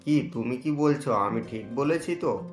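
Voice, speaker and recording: A man's voice: mid-range voice, animated, unhurried, faint music behind, close to an everyday voice. A man voicing a poor middle-aged village husband and father, speaking in an earnest, worried way, recorded in an echoey room.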